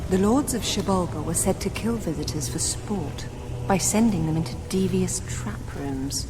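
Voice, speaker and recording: A woman's voice: on the low side, moderate pace, natural voice. An actress playing a composed, determined British woman adventurer in video game cutscenes, cool, articulate and matter-of-fact. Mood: hushed, knowing. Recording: loud music behind. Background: loud game soundtrack music.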